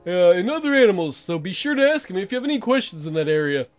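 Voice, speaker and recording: A man acts out a colony mayor from a video game. The official is practical, informal and a bit goofy, explaining haltingly in a high, lively voice. Music plays underneath. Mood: official, helpful.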